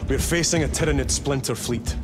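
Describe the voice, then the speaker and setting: An actor playing a stern, wary space marine captain in a video game, briefing with clipped military authority. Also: male voice, medium pitch, brisk, natural voice. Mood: commanding. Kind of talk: dramatic video game cutscene acting. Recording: music behind the voice.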